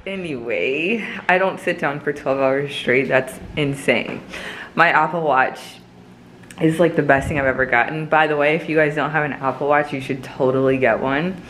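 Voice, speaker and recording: A woman's voice: sits low, normal speed, a bit bright, a little hiss or hum. A woman vlogging from her home kitchen, chatting and rambling with frequent laughs. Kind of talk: casual chat to camera.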